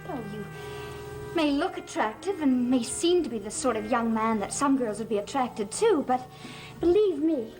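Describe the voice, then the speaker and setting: An actress playing a sullen, sharp-tongued, haughty young woman in a gothic soap opera. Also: high voice, expressive, normal speed, soft background music. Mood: scornful. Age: young adult.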